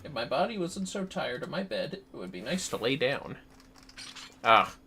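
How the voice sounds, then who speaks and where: A man's voice: mid-range voice, very animated, normal speed, sharp tone. A man chatting casually on a game livestream, musing aloud and swearing mildly now and then. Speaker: livestreamer playing a video game.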